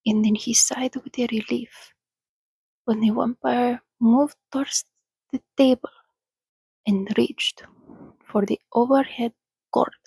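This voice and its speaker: A woman reading a story aloud in a soothing, breathy, soft-spoken ASMR style, often slipping into a whisper, close to the microphone. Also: fairly high pitch, fairly even intonation, no room sound, rough recording. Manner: soothing, unhurried. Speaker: reader.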